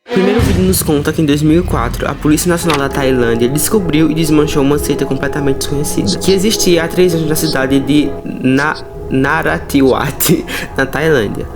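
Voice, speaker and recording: A man's voice: fairly high pitch, a little fast. Brazilian man narrating horror over music. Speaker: host of a horror storytelling channel. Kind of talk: storytelling narration with casual asides. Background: music under the voice.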